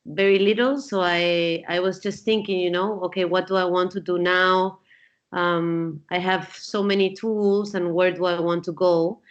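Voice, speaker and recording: A woman's voice: mid-range voice, fairly bright tone. Peruvian woman guest, muffled audio. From Peru. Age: 40s. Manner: thoughtful, with frequent fillers and restarts. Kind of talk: casual interview answers. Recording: dull lo-fi sound.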